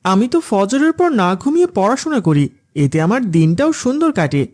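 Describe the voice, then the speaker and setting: Man as a lively, mellow-toned, pious cartoon schoolboy. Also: high voice, normal speed. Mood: welcoming. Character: diligent, pious, friendly schoolboy friend. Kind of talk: cartoon dialogue.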